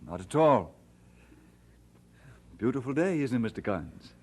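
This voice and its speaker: An actor playing an earnest, humble pastor, speaking politely and sincerely in an old-time radio drama style. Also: male voice, medium pitch, very animated, a little slow, a little mellow, husky. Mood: courteous, frank. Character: earnest, humble, courteous male pastor, newly married. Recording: noisy vintage radio transcription.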